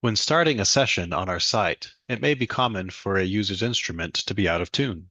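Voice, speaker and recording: Low-voiced young man, dry sound. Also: fairly quick, pushed and bright, no room sound. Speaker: student demo presenter. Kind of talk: software demo walkthrough.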